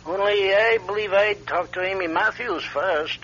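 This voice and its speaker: A man's voice: sits high, normal speed, bright, forceful tone, a little hiss or hum. An actor playing a folksy, cagey but courteous local man in a radio drama, talking in clipped phrases. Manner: clipped, folksy, drops sentence subjects. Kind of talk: classic radio drama acting. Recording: dull, band-limited radio sound.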